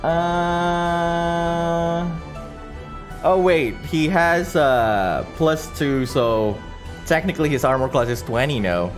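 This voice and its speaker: Guy game mastering on stream, high voice, slow pace, thinks out loud, halting storytelling, bit of music. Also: animated. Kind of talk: improvised tabletop game narration on a livestream.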